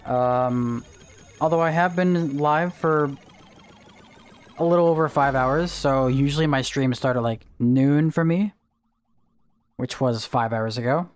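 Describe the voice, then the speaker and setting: A man's voice: medium pitch, measured pace, long pauses, clear, resonant tone. A young man chatting casually on a livestream, greeting viewers and explaining game items as he plays. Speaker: streamer. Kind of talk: casual livestream chat while gaming.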